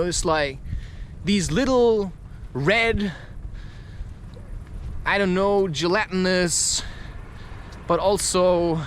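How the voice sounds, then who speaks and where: A man's voice: high-pitched, slight background noise. A man rambling through a spontaneous, musing monologue, loosely putting rhetorical questions as he goes. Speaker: podcast host.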